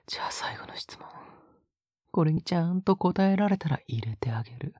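A man playing an obsessive young captor in an intimate audio drama, coaxing in a husky whisper with sweetly condescending menace. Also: on the high side, lively intonation, dry recording, clean studio sound. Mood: coaxing, possessive. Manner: slow, coaxing, condescendingly gentle.

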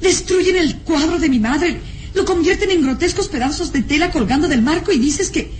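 An actress playing a baron's distressed, indignant daughter in a melodramatic, emotional radio drama style. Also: female voice, high-pitched, normal speed, a little mellow, a little hiss or hum, lightly acted. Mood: hurt, indignant.

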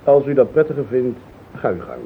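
An actor playing a calm, courteous and probing police interrogator in a radio drama, with a Netherlands Dutch accent. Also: male voice, medium pitch, fairly quick, natural voice. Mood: measured, probing. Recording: tinny, noisy old radio recording.

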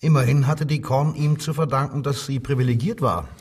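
A man playing a measured, insistent Nazi-era police inspector who coaxes and probes with authority in a radio crime drama. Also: mid-range voice, steady tone, darkish tone, slightly rough, far from the mic, natural voice. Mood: probing. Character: controlled, probing male Nazi-era Berlin police inspector. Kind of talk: German radio drama dialogue, interrogation.

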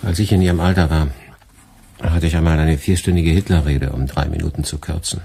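An ironic, lecturing boss, very deep, dark, husky; radio play. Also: male voice, fairly even intonation, fairly quick, a little hiss or hum. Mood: sardonic. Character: sardonic middle-aged male boss, lecturing. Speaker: department head.

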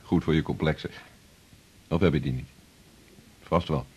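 An actor playing a senior male doctor, gruff but fond, brusque and teasing in a radio drama. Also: extremely low pitch, steady tone, moderate pace, a little mellow, muffled recording.

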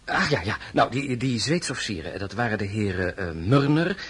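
Casting: earnest soldier-prince, low voice with wide swings, affectionate, musing, radio drama, slightly noisy recording. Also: male voice, sing-song, normal speed, a bit bright. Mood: amused, animated. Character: Bernadotte, warm soldier-prince, doting husband, earnest and ambitious.

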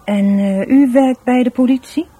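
A woman's voice: a little high, normal speed, warm tone, natural voice. An actress playing a shy, guarded, educated woman in a radio drama, speaking hesitantly with a Dutch accent. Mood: reserved, guarded. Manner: hesitant, repeats words, evasive. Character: shy, guarded, educated sister of a dead man.

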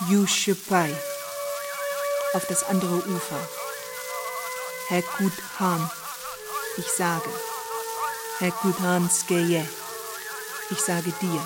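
A woman playing a detached archivist in a radio play, reciting entries and translations in a measured, word-by-word way. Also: lowish voice. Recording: loud music under the voice.

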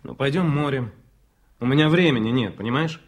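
An actor plays a male doctor in a 1980s Soviet radio drama. His mid-pitched voice is husky and unpolished, gentle and weary, yet decisive. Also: brisk, natural voice. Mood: weary, worried.

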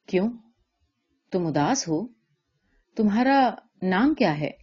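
A woman voicing a drunken, lonely, self-reproaching man in a story, with slurred, drawn-out, repeated words between laugh and sob. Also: medium pitch, expressive, a little mellow, husky, lo-fi sound. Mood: teasing, tipsy.

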